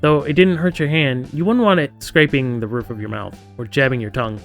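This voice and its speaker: Man, game streamer, casual story reading, playful asides, soft plucked guitar behind. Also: mid-range voice, a little fast. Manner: playful, chatty asides to viewers. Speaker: streamer reading a visual novel aloud.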